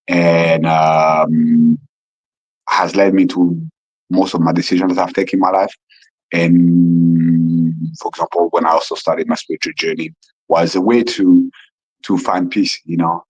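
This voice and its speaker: A husky, deep-voiced man in his forties from Rwanda, a Kinyarwanda native speaker talking in a flat, even tone. He sounds earnest and reflective, often repeating words as he finds them. He is recorded on a phone, so the sound is dull and lo-fi. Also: no room sound. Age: 40s. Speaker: podcast guest. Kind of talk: casual remote interview.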